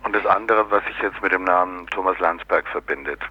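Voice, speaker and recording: A man's voice: sits low, a little fast, dull audio. A man explaining his views casually in an interview, sardonic and colloquial, with many little tag questions.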